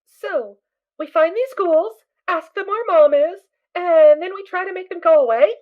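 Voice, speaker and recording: A woman's voice: very high voice, unhurried, narrow, tinny audio. A woman playing an innocent, brave little filly in an amateur audio drama, with a childlike, guileless way of talking. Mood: chirpy, eager.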